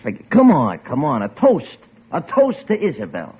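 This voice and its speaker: A man's voice: medium pitch, wildly swooping pitch, normal speed, breathy edge. An actor playing a falsely chummy, pushy male schemer in an old-time radio drama, coaxing and familiar. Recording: muffled vintage radio recording with music.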